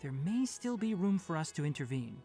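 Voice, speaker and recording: Young scholar guy from an anime game, low but really expressive, explains things calmly, faint music. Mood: serious, resolved. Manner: measured, articulate, explanatory. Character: young male scholar, composed, candid and thoughtful. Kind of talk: anime video game voice acting.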